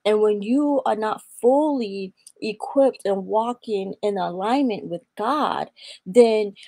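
Young woman with a gravelly, mellow voice. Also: medium pitch, slow, dry recording. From Atlanta, Georgia. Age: young adult. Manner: earnest, preaching tone with scripture quotes. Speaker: guest. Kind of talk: personal testimony in a faith interview.

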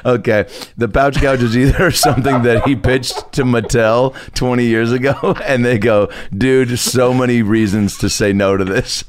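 A man hosting a comedy podcast, tossing out quick jokes and laughing with his guests. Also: medium pitch, fairly even intonation, slight background noise. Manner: quick joking banter, laughs often. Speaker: podcast host. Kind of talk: casual comedic chat.

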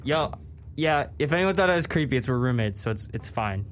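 A man's voice: mid-range voice, lively intonation, fairly quick. An eighteen-year-old man from Rhode Island chatting casually and jokingly as co-host. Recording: faint background noise, room ambience.

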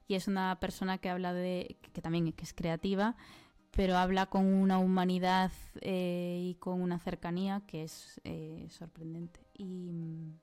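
A woman from Galicia, a marketing creative, talking as a guest in a casual interview, thoughtful and halting, laughing easily. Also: on the low side, darkish tone, clean tone. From Galicia, Spain.

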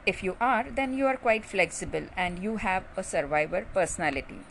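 A woman's voice: medium pitch, expressive, a little fast, gravelly, a little hiss or hum. Chatty Indian woman doctor podcasting. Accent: Indian English. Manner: chatty, with frequent "uh" fillers. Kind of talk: casual podcast monologue.

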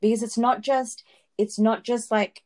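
A woman's voice: fairly high pitch, fairly even intonation, measured pace, a little mellow. A woman co-hosting a podcast, chatting about reality TV in long explanatory turns and laughing often. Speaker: podcast co-host. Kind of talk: casual chat recapping reality TV.

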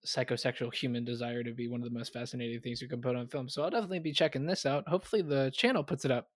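Relaxed man on a film podcast, dry sound. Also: mid-range voice, rapid-fire, no echo. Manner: enthusiastic, relaxed, with frequent ums. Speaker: podcast co-host. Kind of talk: casual film podcast chat.

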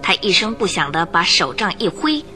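Bright, animated woman narrating radio drama. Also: fairly low pitch, normal speed, soft background music.

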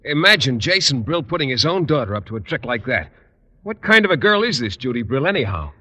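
A man's voice: mid-range voice, very animated, a little fast, bright, forceful tone. A man playing an earnest, naive, literal-minded biology teacher in an old-time radio comedy. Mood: puzzled. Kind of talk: 1940s-50s radio comedy acting.

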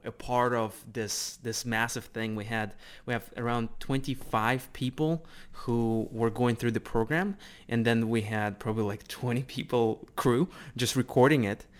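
A man's voice: mid-range voice, fairly even intonation, clean tone. A man hosting an interview, engaged and chatty, sharing his own stories between questions. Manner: engaged, shares own stories, quick affirmations. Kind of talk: casual interview conversation.

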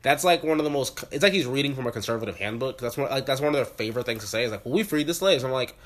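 A man's voice: medium pitch, animated, very fast, a bit bright. A young man in his twenties hosting a podcast, congested and sniffling, in joking, profane banter. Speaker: podcast host. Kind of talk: casual podcast chat.

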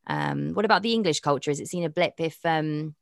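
A young woman with a notably low voice talks frankly and chattily as an interview guest, thinking out loud as she goes. The sound is dry and close, with no room around it. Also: fairly quick, creaky, no echo. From Pakistan. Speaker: presenter and dating podcast host, guest. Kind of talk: candid interview conversation.

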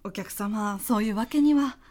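A woman's voice: mid-range voice, normal speed, mellow, lightly acted. A woman playing a very formal, apologetic, flustered office receptionist in a comedic radio drama. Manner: very formal, apologetic customer-service politeness. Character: polite, flustered female office building receptionist.

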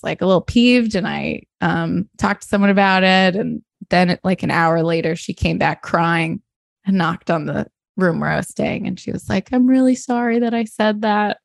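A woman's voice: medium pitch, vocal fry, dry recording, studio quality. A woman talking candidly and reflectively in conversation, hesitant and self-deprecating, often saying "like" and "you know". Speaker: interview guest, comic artist.

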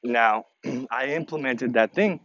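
A man running his company, speaking informally to his staff in a podcast, with a slightly crackly voice and a halting, earnest delivery full of fillers and restarts. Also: medium pitch, fairly bright tone, lo-fi sound. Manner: earnest, repeats himself to stress points. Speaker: company president addressing staff. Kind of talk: informal podcast address to employees.

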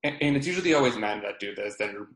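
A man's voice: on the low side, expressive, quick pace, a bit bright. A man in his twenties co-hosting a podcast, talking casually with a stutter, repeating sounds and getting stuck on blocks. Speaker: podcast co-host. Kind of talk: casual chat. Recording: roomy echo.

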